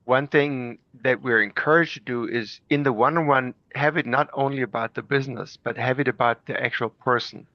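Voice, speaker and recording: A man's voice: medium pitch, steady tone, a little fast, few pauses, no room sound, highs cut off. A man from Germany explaining things conversationally through personal anecdotes. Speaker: group call participant.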